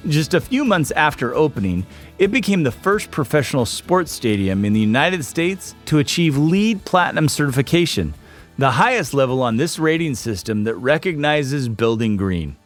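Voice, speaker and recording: A man's voice: on the high side, big pitch swings, normal speed, soft background music. A man reading scripted, informative narration as the host of a documentary series.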